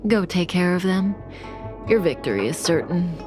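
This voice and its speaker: An actress playing a calm, enigmatic, seductive woman in a video game cutscene, speaking deliberately and with assurance. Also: fairly low pitch, over music, natural voice. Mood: assured, composed.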